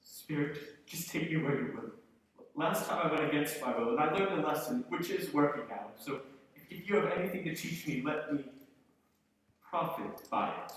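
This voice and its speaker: A man's voice: on the high side, lively intonation, normal speed, fairly bright tone. A man playing a nervous, humbled old miser in a live radio play, hesitant and stammering. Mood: humbled, pleading. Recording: echoey stage, distant mic, faint noise.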